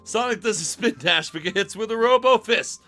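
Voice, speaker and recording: Male streamer, lively and bright, playful comic story reading over background music. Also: high voice, normal speed. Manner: playful, breaks into laughter. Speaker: streamer reading fan fiction. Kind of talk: comic dramatic read-aloud on a livestream.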